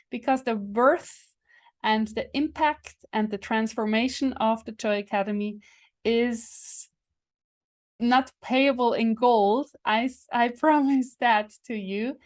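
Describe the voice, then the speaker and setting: A woman whose first language is Swiss German, hosting her own podcast in English and making a casual announcement. She pauses to search for words, with fillers and restarts. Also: a little high. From Switzerland. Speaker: podcast host.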